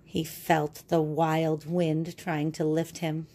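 Woman with a low mellow voice reading a kids book, takes it slow and explains stuff. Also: flat delivery. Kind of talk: picture-book read-aloud and explanation for children.

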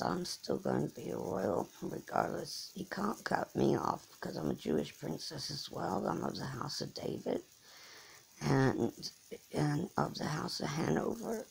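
A middle-aged woman from Norfolk, England, with an English accent tinged with American Southern, rambling slowly and haltingly while lying in bed and talking into a phone held close. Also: low voice, creaky, darkish tone, a little hiss or hum, low-quality recording. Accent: English with some American Southern. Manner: slow, halting, many restarts and fillers. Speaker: vlogger. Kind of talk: rambling personal monologue. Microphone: phone held close above the face.

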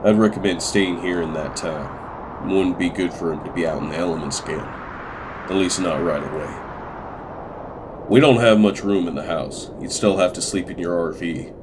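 A weary, older male farmer played by an actor speaks in a very deep voice. He is measured and plain-spoken, in an amateur comic dub with clear noise behind him. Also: natural voice. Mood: calm, firm. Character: weary older widowed farmer, former veterinarian.

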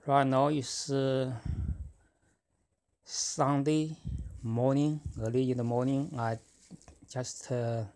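A middle-aged man whose first language is Chinese speaks in a mid-pitched voice with a gravelly edge. He talks haltingly, stopping and restarting between fillers. He walks through a chart in a casual talk. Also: fairly even intonation, a little mellow. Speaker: forex trader. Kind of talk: casual screen-recorded trading talk.

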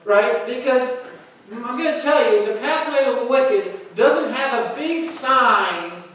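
A man's voice: extremely high pitch, animated, takes it slowly, fairly bright tone. Emphatic man preaching in an echoey hall. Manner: emphatic, conversational, with frequent "right?" checks. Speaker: pastor. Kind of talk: sermon. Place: church hall with pulpit. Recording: very echoey hall, heard from a distance.

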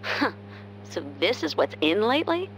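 A vain, hot-headed young tomboy from a video game. She is brassy and blunt, with a very lively delivery, over a clearly audible hum. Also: mid-range voice, moderate pace, rough recording. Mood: smug, musing. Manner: brassy, blunt, talking to herself or scolding.

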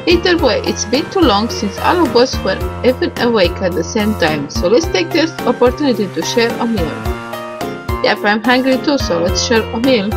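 A woman with a fairly high voice plays a visual novel and reads its lines out. She comments casually as she goes and sometimes stumbles and restarts. Music plays clearly behind her. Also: big pitch swings, moderate pace. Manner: stumbles over words when reading, with self-corrections and asides. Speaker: gamer reading a visual novel aloud. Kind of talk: casual game commentary, reading on-screen dialogue aloud.

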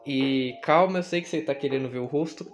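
A young man with a Brazilian accent chats informally at a middling pitch, playful and self-deprecating. He sounds like a vlogger rambling into a handheld device in a bedroom, with faint noise behind him. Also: brisk. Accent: Brazilian Portuguese. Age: young adult. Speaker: YouTuber. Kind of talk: casual rambling vlog. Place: small bedroom, indoors. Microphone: handheld camera or phone. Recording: noisy handheld camera audio with clicks.

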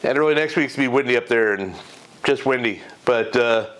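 A man's voice: mid-range voice, brisk, a bit bright, poor audio. Rambling middle-aged man giving a fishing report. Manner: rambling, many fillers and self-corrections. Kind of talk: casual unscripted fishing report. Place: home garage, in front of a green screen.

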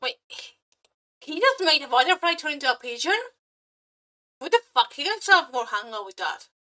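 A woman streamer giving casual live reactions, excitable, scattered and exclamatory, with some swearing. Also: sits high, moderate pace. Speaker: streamer reacting to game trailers. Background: background music.

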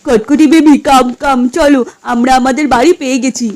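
A woman's voice: sits high, steady tone, fairly quick, a little mellow, a little hiss or hum, natural voice. A woman voicing a placid, doting husband in a comedy cartoon, coaxing and using bits of English. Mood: pleased, coaxing. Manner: coaxing, sprinkles English words. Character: placid, doting husband soothing his fiery wife. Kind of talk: exaggerated comedy cartoon acting.

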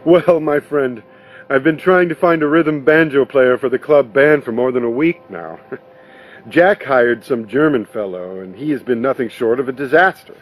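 A man voicing a glad-handing nightclub owner in a storybook, chummy and persuasive, with a husky voice. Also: medium pitch, moderate pace, close to an everyday voice, rough recording. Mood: grumbling.

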